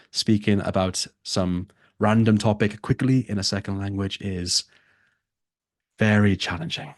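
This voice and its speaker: A man's voice: on the low side, darkish tone, no room sound, clean studio sound. A man who tutors for a test, teaching a live online lesson and repeating his key points for emphasis.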